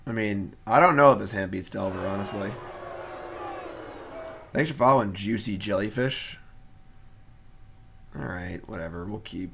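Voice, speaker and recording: A man's voice: fairly low pitch, normal speed, vocal fry, long pauses, fairly bright tone, a little hiss or hum. A young man streaming a card game and chatting casually, laid-back and wry, with lots of fillers. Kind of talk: casual live-stream commentary.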